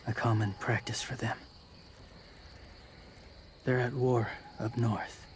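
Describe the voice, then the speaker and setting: Man acting a flat, terse, weary young wanderer. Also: mid-range voice, slow, slight background noise, natural voice. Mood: grave, calm. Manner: terse, clipped fragments, subdued. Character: quiet young male wanderer, weary, gentle, guarded. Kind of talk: restrained video game drama acting.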